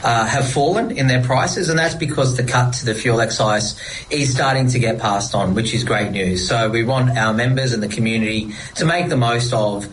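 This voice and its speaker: A man's voice: mid-range voice, normal speed, slight background noise, rough recording. An Australian man giving a spokesperson's comment to the media. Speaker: motoring organisation spokesperson.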